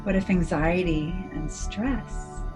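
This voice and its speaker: Low-pitched woman guiding a meditation over music. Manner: gentle, measured, soothing instruction with deliberate pauses. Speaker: clinical psychologist guiding a meditation. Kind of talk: guided meditation.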